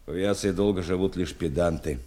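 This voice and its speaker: Actor as low-voiced ageing veteran navigator. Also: male voice, brisk, dark tone, lightly acted. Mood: wry, fond. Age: older. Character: ageing male war veteran navigator turned teacher, genial, exacting. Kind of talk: audio drama acting.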